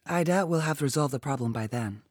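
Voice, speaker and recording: A woman's voice: deep voice, expressive, a little fast, darkish tone, slightly rough, no room sound, studio quality. A woman voicing a persistent, tactful female federal agent in an audiobook, with a probing and controlled manner. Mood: polite, firm.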